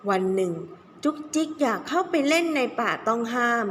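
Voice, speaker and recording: A woman's voice: a little high, expressive, normal speed. A woman teacher telling a children's story expressively, stretching key words for young listeners. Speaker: kindergarten teacher telling stories. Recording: echoey room with faint background noise.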